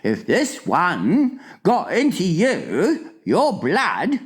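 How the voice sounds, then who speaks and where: A woman voicing an excitable, kindly male giant in a storybook, with quaint mangled grammar and emphatic, drawn-out exclamations. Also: lowish voice, voice pushed up, exaggerated voice. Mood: grim, agitated. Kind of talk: storybook character voice in a dramatic reading.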